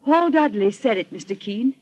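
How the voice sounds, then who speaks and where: A woman's voice: on the high side, expressive, normal speed, darkish tone. An actress playing a poised, accusing society woman in a 1940s radio melodrama, formal and emphatic. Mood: insistent, accusing. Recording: muffled old radio recording.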